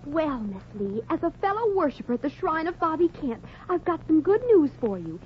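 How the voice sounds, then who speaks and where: A naive, gushing teenage American fan girl in a forties radio comedy. Her voice is extremely high, and the old recording is dull with background hiss. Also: big pitch swings, moderate pace. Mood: prim. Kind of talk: 1940s radio comedy acting.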